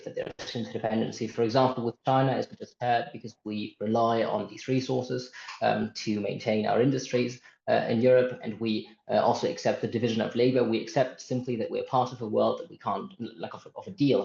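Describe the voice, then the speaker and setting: A man's voice: mid-range voice, creaky, a little mellow. A man in his twenties from Germany speaking as a panelist, thoughtful and hesitant with many restarts. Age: 20s. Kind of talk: panel discussion. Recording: echoey and muffled.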